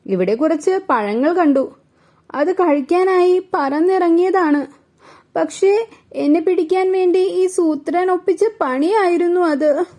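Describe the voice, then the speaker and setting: A woman voicing a kind, sensible female bird in a children's story, speaking in a gentle way. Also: very high-pitched, measured pace, pitched above the performer's own voice. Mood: distressed.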